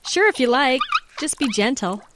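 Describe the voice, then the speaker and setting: A woman playing a gentle, patient mother of young children in a radio play. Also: sits high, animated, moderate pace, heavy background noise.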